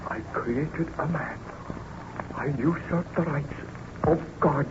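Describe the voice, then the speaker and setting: A man's voice: a little high, slow. An actor playing a tormented, exhausted baron obsessed with destroying his monster, in melodramatic, solemn radio drama style. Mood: grave confession. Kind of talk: old-time radio drama acting. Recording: muffled, noisy old radio recording.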